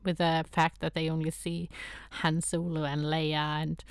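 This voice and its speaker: A woman's voice: sits low, flat delivery, measured pace, clean tone, no echo, clean studio sound. A woman from Sweden with a Swedish accent, a guest in a casual interview who talks with hesitant restarts and frequent laughter. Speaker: guest, toy photographer.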